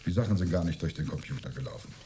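A man's voice: low voice, dark tone, a little hiss or hum. An actor playing a sly, chummy shady fellow in a crime radio play, wheedling and smug as he speaks. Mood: smug, conspiratorial. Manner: wheedling, smug, drawn-out words. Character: sly, chummy shady old acquaintance in hiding. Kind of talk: German sci-fi crime radio play acting.

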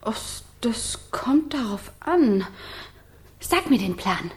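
A woman's voice: fairly high pitch, big pitch swings, slow. An actress playing a naive, curious young flame girl who longs for freedom in a children's radio play, with a childlike, wondering manner. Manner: childlike, wondering, repeating words.

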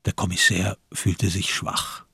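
A man with a gravelly, husky voice giving literary narration in a radio crime drama. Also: low voice, completely flat delivery, slow, pushed and bright, no room sound, clean studio sound.

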